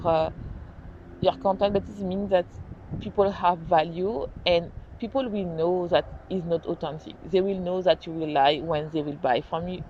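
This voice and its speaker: A French-accented woman talks through her own podcast with earnest energy, often backing up to correct herself. Her voice sits a little low. The recording is dull and lo-fi with a faint hiss underneath. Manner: earnest, animated, restarts and self-corrections. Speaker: podcast host. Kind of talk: casual solo podcast talk. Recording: dull lo-fi sound, faint noise.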